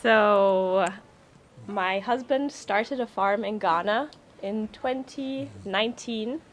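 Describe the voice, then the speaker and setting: A German-native woman talks in an unhurried, soft way, pausing on ums and laughing often. Faint noise hums in the background. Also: medium pitch. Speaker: guest. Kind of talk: casual chat.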